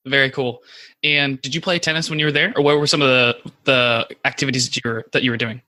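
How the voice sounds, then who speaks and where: A man hosting a podcast, interviewing his guest with quick, encouraging affirmations. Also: mid-range voice, steady tone, pushed and bright. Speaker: podcast host.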